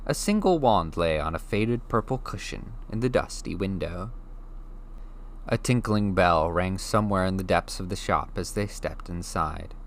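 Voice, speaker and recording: A man reads a storybook aloud in a calm, steady, measured way. His voice sits on the low side. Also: a little hiss or hum. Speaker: audiobook reader. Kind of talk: storybook narration.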